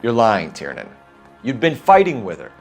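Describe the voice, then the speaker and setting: Young American detective, stern, dogged, clipped, accusatory, animated intonation, game drama, background music. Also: male voice, lowish voice, normal speed, creaky, full character voice. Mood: accusing. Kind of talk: dramatic video game acting.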